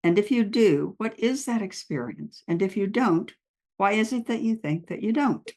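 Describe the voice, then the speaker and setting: A woman hosts a conversational interview podcast, speaking at a middle pitch. She welcomes her guest and asks open questions, responding with engaged interest to the answers. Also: lively intonation, normal speed, warm tone. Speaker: podcast host.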